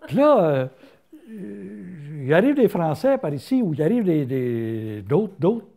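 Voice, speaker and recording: An older man with a rural Quebec French accent, telling stories in a casual interview, folksy and halting, full of fillers and restarts. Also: on the high side, very animated, creaky, dark tone. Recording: interview filmed on camera.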